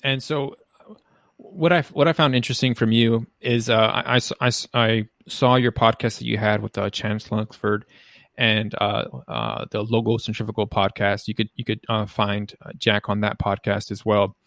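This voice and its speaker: Flat-toned podcast host. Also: male voice, lowish voice, gravelly, no echo, clean studio sound. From United States. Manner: halting, searching for words, many restarts. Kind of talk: conversational interview hosting.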